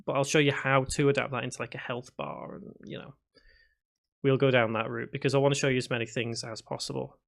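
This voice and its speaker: Gravelly Scouse man in his thirties. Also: medium pitch, fast talker. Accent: Liverpool (Scouse). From Liverpool, England. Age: 30s. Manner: reads chat aloud and answers, with restarts and fillers. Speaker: game development streamer. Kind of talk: casual live stream chat.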